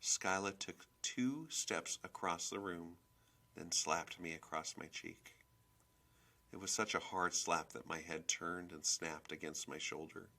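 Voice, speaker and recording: Man, storybook reader, lowish pitch, very crisp bright tone, expressive scripted narration. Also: measured pace.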